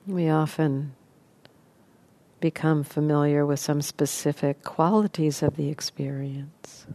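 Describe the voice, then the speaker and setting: A woman leading a guided meditation as a teacher, speaking in unhurried, sparse phrases with long pauses. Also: sits low, steady tone, dark tone.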